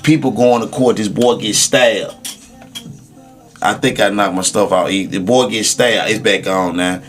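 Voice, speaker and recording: A man's voice: lowish voice, measured pace, soft background music. A man chatting casually on a podcast, informal and emphatic with profane street slang. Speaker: podcast speaker.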